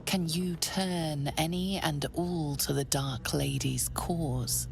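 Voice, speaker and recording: Fantasy game narrator: very low female voice, slow, hushed and ominous, with music underneath. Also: heavily acted. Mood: solemn, ominous. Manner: breathy, deliberate, whispery. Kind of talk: scripted second-person game narration. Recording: prominent music behind.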